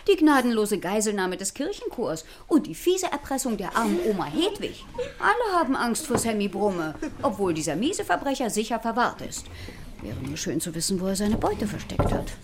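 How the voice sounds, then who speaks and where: An actress playing a flustered, nerdy expert lecturing children in stilted technical language in a radio play. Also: female voice, mid-range voice, sing-song, moderate pace, background noise. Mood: earnest, dramatic. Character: flustered, nerdy woman expert teaching children about fear.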